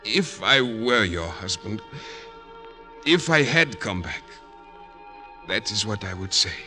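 A frail, war-wounded older man in a 1940s radio drama. His deep voice is slow and halting, solemn and noble, with big swells in intonation. It is a vintage recording with music behind. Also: expressive, pushed and bright, heavily acted. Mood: solemn resignation.

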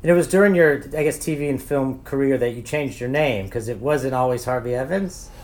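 A man's voice: mid-range voice, fairly quick, a little mellow. A man interviewing a guest, asking questions and responding with short, admiring remarks. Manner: admiring, listens with short replies.

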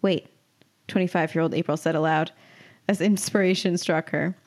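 A woman's voice: lowish voice, steady tone, normal speed. Playful young woman reading comedy aloud. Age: 20s. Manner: giggly, playful, self-mocking. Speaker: podcast co-host and writer. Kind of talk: casual banter and reading own comic story aloud.

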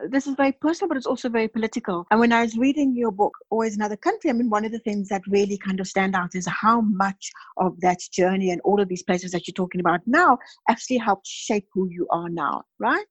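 A woman's voice: on the high side, fast, hardly any pauses, slightly rough. A woman hosting a podcast, reading a scripted introduction and then asking conversational questions. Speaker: podcast host. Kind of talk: podcast interviewing.

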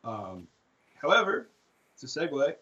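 A man's voice: a little high, sing-song, slow pace, bright, forceful tone, highs cut off. A young man co-hosting a podcast, talking in a laid-back way about music, swearing casually and trailing off. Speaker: podcast co-host.

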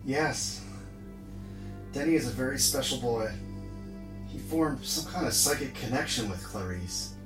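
A man playing a calm, kindly professional in an audio drama. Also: medium pitch, a little slow, pauses often, lightly acted. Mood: calm, concerned. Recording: echoing room, distant mic, music behind.

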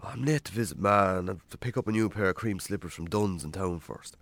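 An actor playing a weary, defensive middle-aged Irish farmer who drinks too much, curt and evasive, in naturalistic radio drama. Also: male voice, low voice, quick pace, gravelly, a bit bright, no echo. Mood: harried.

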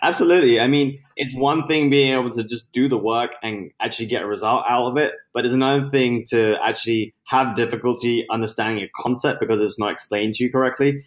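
A man's voice: mid-range voice, steady tone. A young man from London with a British accent, chatting upbeat as a podcast guest about his business start. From London, England. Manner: chatty and upbeat, with quick laughs. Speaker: podcast guest, Amazon seller. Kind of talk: casual interview chat.

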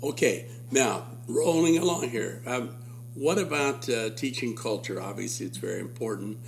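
A man's voice: mid-range voice, expressive, moderate pace, vocal fry, a little hiss or hum. A man interviewing a teacher, encouraging her and praising her answers. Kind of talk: interview conversation.

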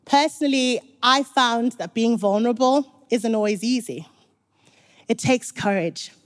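A woman's voice: on the high side, measured pace. A young woman from Zimbabwe giving an earnest, encouraging sermon, speaking directly to the congregation. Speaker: preacher.

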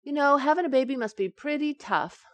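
A woman playing a teasing, quick-witted housewife in an old-time radio sitcom, with wry comic timing. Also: sits high, lively intonation, no echo. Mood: wry, exasperated. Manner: wry, sharp comic retorts.

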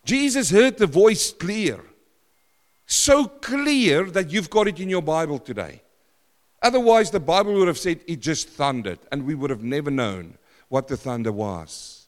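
A man preaching in a church, his voice carrying a long reverb through a large hall. He talks loud and emphatic, then drops to hushed lines. His pitch sits somewhat high for a man. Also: animated, measured pace. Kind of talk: sermon.

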